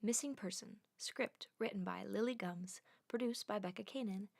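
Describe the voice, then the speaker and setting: A woman announcer reads scripted credits. Her medium-pitched voice has noticeable creak, and the sound is dry with no room around it. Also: moderate pace, darkish tone, no room sound.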